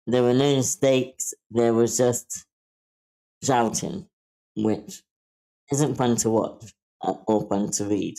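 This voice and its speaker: A man giving frank critique in an online meeting, blunt, deliberate and emphatic in his delivery. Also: mid-range voice, fairly even intonation, gravelly, warm tone, poor audio. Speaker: table-read participant giving script feedback. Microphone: online meeting call.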